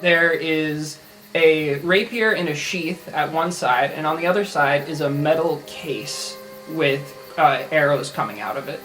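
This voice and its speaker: A player in an improvised tabletop game describes a character in a casual, halting way. The voice has a middling pitch, with background music. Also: a bit bright, rough recording.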